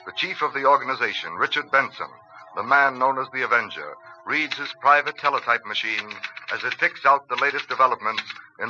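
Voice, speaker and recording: An actor as the dramatic announcer of a 1940s radio serial, reading scripted narration. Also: male voice, medium pitch, close to an everyday voice. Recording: muffled, noisy 1940s radio broadcast. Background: clacking teletype.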